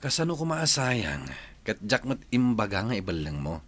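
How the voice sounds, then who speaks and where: A man's voice: mid-range voice, big pitch swings, normal speed, a bit bright. A man playing a haughty, domineering new husband in a radio drama, commanding and dismissive. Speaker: actor in audio drama. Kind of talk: Ilocano radio comedy-drama acting.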